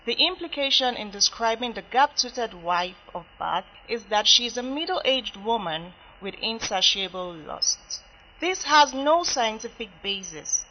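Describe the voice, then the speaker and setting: A woman reading a scripted news voice-over in a measured, explanatory way. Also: fairly high pitch, pushed and bright, slight background noise. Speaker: news reporter. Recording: slightly dull lo-fi broadcast audio.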